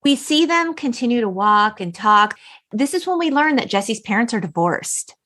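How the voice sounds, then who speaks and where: A woman's voice: fairly high pitch, sing-song, moderate pace, sharp tone. A woman hosting a podcast, recapping a film conversationally and acting out bits of dialogue as she retells it. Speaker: podcast host.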